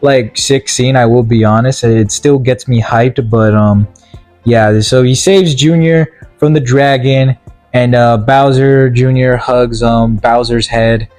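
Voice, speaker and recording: A man's voice: medium pitch, steady tone, slow, a little mellow, soft background music. A man giving a casual, comedic ranting recap as a video game movie reviewer, rambling and stumbling over his words with exasperation.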